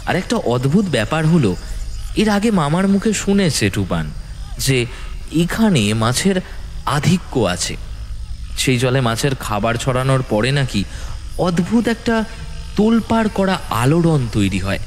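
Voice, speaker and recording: Male narrator, dramatic horror storytelling, lively varied intonation, suspenseful, faint crickets and frogs behind. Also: a little high, unhurried, long pauses, a little hiss or hum.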